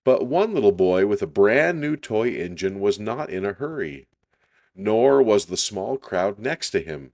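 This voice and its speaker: A man reading a children's story aloud in an unhurried, deliberate storytelling style. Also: on the low side, sing-song, a little mellow.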